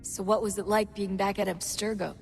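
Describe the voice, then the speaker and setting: Woman playing an easygoing, supportive teammate, mid-pitched. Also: fairly even intonation, brisk, creaky, loud music behind the voice, close to an everyday voice. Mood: curious. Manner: casual, friendly. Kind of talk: video game cutscene acting.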